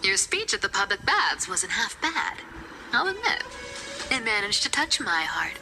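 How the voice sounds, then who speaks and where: A woman's voice: mid-range voice, big pitch swings, a little slow. An actress voicing a sly, teasing female thief who speaks wryly, heard in game audio with music behind. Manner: teasing, wry. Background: background music.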